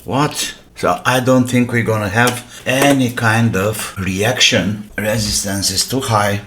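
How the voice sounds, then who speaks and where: A man, an English speaker, thinking aloud in a casual, halting way as he hosts a hands-on repair vlog, muttering asides to himself. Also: fairly low pitch, steady tone. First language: not English. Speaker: host of an electronics repair channel. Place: indoor workbench. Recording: noisy workbench with clicks and clatter.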